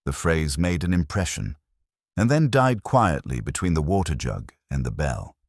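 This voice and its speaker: Very deep male narrator, dryly ironic. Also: sing-song, normal speed, no echo, studio quality. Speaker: narrator and reader. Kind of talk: scripted literary mystery narration.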